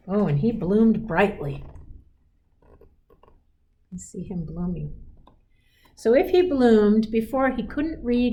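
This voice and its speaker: A woman reading a children's picture book in an expressive, encouraging manner, with chatty asides to young listeners. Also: medium pitch, muffled recording. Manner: encouraging, explains pictures and asks listeners questions; expressive, emphatic storybook delivery. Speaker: school superintendent reading to children.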